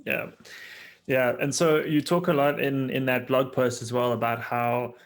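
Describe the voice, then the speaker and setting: A man's voice: medium pitch, level tone. A man hosting a conversational interview, listening attentively with short acknowledgements and feeling his way through his words. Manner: attentive, frequent short acknowledgements, halting when summarizing. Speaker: podcast host, interviewer.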